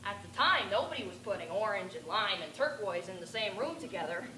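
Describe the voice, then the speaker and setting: A teenage girl playing an eccentric, rambling bag lady who talks to aliens, with a raspy voice and a ranting, confiding stage-monologue delivery. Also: mid-range voice, lively intonation, fairly quick, pushed and bright. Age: teens. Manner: ranting, confiding, addressing passers-by. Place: stage performance. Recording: echoey room with background noise.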